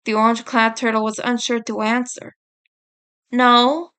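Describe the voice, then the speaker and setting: A woman narrates a story from a script in a high-pitched voice. Her reading is slow and measured, as a fiction narrator. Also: fairly even intonation, a bit bright, smooth. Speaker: fan fiction reader, narrator.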